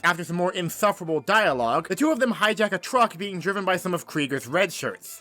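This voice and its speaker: Male host, comedic review narrator, bright tone, sarcastic and animated. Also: on the high side.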